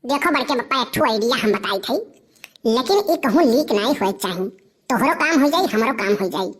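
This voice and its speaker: A cheeky little schemer from an Awadhi cartoon comedy, played by a woman. The boy's voice is very high. He wheedles and argues like a born plotter. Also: lively intonation, fairly quick, slight background noise, natural voice. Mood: scheming. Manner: wheedling, argumentative. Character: cheeky, scheming village boy, nephew.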